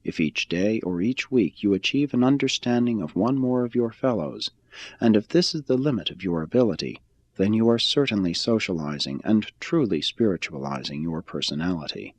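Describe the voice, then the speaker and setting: A man reading a religious text aloud as scripted narration, in a measured, formal and solemn manner with deliberate phrasing. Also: fairly low pitch, expressive.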